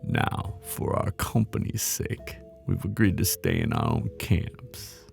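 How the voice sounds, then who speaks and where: A man playing a flirty, menacing mob boss in an intimate ASMR roleplay, with a teasing, cocky drawl and a deep, gravelly voice. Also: takes it slowly, a little mellow, soft background music, full character voice. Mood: smug, businesslike.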